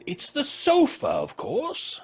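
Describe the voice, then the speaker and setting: An actor playing a dry, scornful, impatient gentleman skeptic at a séance in an old-fashioned radio horror drama. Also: extremely high pitch, wildly swooping pitch, slow pace, faint music behind, exaggerated voice. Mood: dry, sarcastic.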